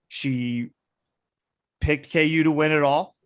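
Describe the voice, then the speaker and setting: Young guy podcast host, slightly high voice, playful sports banter. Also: fairly even intonation, slow pace, clean tone. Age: young adult. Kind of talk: casual sports podcast chat.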